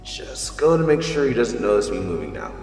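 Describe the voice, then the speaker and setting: A man's voice: fairly low pitch, big pitch swings, a little fast, darkish tone. A man playing a thrill-seeking secret superhero who narrates in first person in an audio drama. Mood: worried, hushed. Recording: echoey reverb under background music.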